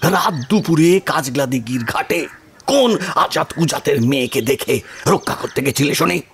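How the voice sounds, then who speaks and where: A man's voice: fairly high pitch, lively intonation, moderate pace, gravelly, breathy edge, heavily acted. A man playing a stern, hot-tempered, haughty landlord in an audio horror drama, scolding with imperious scorn. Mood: contemptuous anger.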